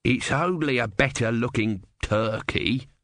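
A man doing a small tortoise god with a dull, resigned mutter, sardonic and put-upon. The voice stays low-key and unhurried. It sits dry and close, with no room around it. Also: a bit bright, no echo, natural voice.

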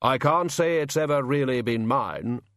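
A man's voice: mid-range voice, fairly bright tone, dry recording, studio quality, lightly acted. A man voicing a red-hooded gift-bringer with a heavy, puzzled, gloomy voice. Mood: glum. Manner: slow, heavy, glum.

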